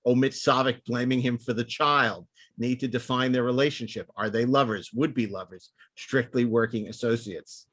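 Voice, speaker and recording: Man, podcast host, medium pitch, dry close recording, casual discussion, reading aloud. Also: fairly even intonation, moderate pace, fairly bright tone, no room sound.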